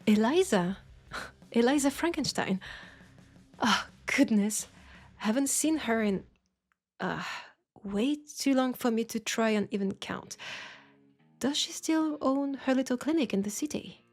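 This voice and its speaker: Slow, husky French witch, played by a woman. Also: fairly high pitch, lively intonation, soft background music. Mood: amused, surprised. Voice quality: husky, breathy with creak. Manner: unhurried, teasing, dramatic pauses. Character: knowing, teasing, compassionate witch in a secluded werewolf town. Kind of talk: intimate roleplay audio drama, speaking to the listener.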